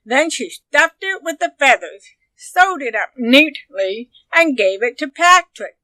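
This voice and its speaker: Casting: female storybook reader, high bright voice, expressive and unhurried, dramatic phrasing. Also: no echo. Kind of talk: expressive children's story narration.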